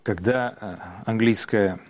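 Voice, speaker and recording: A man interviewing a guest, asking probing questions in a measured way, with hesitant fillers. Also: on the low side.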